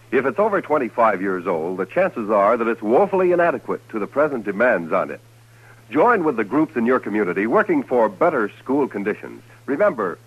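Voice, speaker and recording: Animated American vintage radio announcer, man. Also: medium pitch, breathy edge, highs cut off. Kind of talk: 1950s scripted radio announcing.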